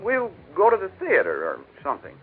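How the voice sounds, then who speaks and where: An actor playing a young, proud but joking husband in 1940s radio drama, with a slangy manner. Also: male voice, sits high, wildly swooping pitch, fairly quick, slightly rough, full character voice. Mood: hesitant. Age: young adult. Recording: tinny 1940s radio recording.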